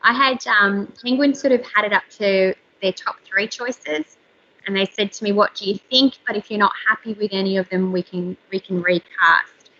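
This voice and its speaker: Author in her thirties, woman, bright squeaky-edged voice, candid chatter, muffled call audio. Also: moderate pace, a little hiss or hum. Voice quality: slightly squeaky voice. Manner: chatty, candid, frequent fillers. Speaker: author and journalist. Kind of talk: casual interview chat. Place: home room. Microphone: video call. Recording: slightly dull video call audio.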